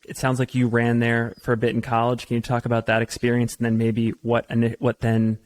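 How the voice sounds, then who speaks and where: A man's voice: mid-range voice, monotone, brisk. A man hosting a podcast, asking easygoing, rambling questions in a casual interview. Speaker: podcast host.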